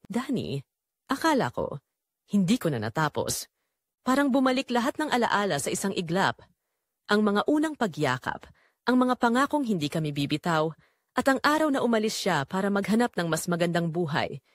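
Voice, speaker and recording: A woman's voice: medium pitch, big pitch swings, fairly quick, no echo, studio quality. A woman reading a scripted first-person romance story in a dramatic storytelling manner. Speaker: audiobook narrator.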